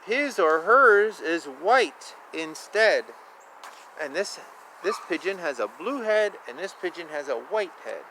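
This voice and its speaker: A man reads a children's picture book aloud outside, his voice high and very animated. He takes it slowly in a sing-song way, dropping in casual asides, with clear ambient noise around him. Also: poor audio. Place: outdoors. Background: outdoor ambience.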